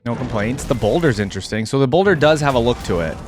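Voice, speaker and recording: Man with very animated reaction commentary. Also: mid-range voice, fast, soft background music. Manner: easily amused, thinks out loud, exclaims with surprise. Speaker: host of a gaming reaction video. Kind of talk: casual off-the-cuff reaction commentary.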